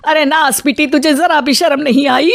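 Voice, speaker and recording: A woman playing a domineering, high-voiced older mother-in-law. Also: fairly even intonation, normal speed, gravelly, no room sound, clean studio sound. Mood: outraged. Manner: dramatic scolding, exclamatory. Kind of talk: dramatized Hindi family moral story.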